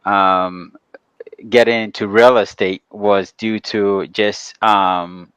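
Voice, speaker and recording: A man from Ghana who grew up partly in New York, explaining his work as a guest in a casual, halting way. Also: on the low side, fairly even intonation, a bit bright, clean tone, no room sound, highs cut off. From Ghana, later the Bronx, New York. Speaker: guest, real estate developer. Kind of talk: casual interview talk.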